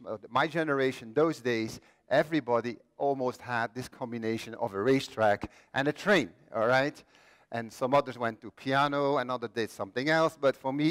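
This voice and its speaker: A man in his late fifties from Belgium, telling the story of his own life as a conference speaker, joking with the audience and poking fun at himself. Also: mid-range voice. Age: 50s. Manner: self-deprecating, jokey with the audience. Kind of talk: personal storytelling talk. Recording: long reverb of a large hall.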